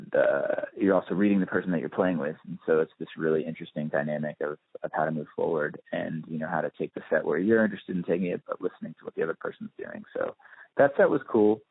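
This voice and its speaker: Deep-voiced man over a muffled phone line. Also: no room sound. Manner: thoughtful, hesitant, circling back to rephrase. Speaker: musician and DJ being interviewed. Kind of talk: casual phone interview. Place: on a phone call. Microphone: telephone.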